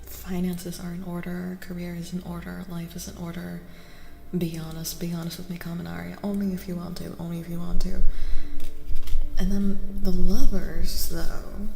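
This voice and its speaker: A woman speaking softly and often whispering as she gives a casual, musing ASMR tarot reading. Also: on the low side, gravelly. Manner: hushed, often slipping into whispers. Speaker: streamer giving tarot readings. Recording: roomy reverberant sound. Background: soft music.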